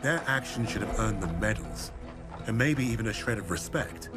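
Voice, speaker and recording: An actor playing a wry, worldly soldier narrating a war game's cutscene. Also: male voice, lowish voice, expressive, normal speed, over music, natural voice.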